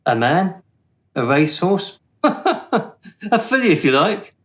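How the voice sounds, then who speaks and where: A man playing a smooth, condescending afterlife official in a radio play, officious and deliberate in manner. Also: high voice, big pitch swings, fairly bright tone, full character voice. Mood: smugly amused. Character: smooth, condescending male afterlife bureaucrat judging the dead.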